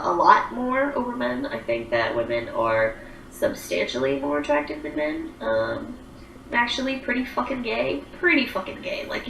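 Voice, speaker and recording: A woman's voice: medium pitch, creaky, a bit bright, echoey room, distant mic, slight background noise, poor audio. A woman in her twenties chatting casually on a livestream, rambling with lots of fillers as she answers viewer comments. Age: 20s.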